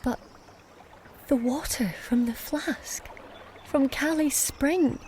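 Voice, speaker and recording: An actress playing a wounded, proud and suspicious young goddess, narrating her own story in an intimate, hushed manner in an audio drama. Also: high voice, slow, a little hiss or hum. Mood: weak, confused. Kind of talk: first-person audio drama narration and dialogue. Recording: music under the voice.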